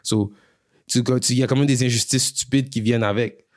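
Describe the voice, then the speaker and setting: A man's voice: medium pitch, fairly even intonation, moderate pace. A man from Quebec with a Quebec French accent, a guest musician talking casually, whose first language is neither French nor English, mixing English slang into his French. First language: a language other than French or English. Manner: loose, slangy, mixes English into his French, frequent restarts. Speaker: guest rapper. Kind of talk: casual podcast conversation.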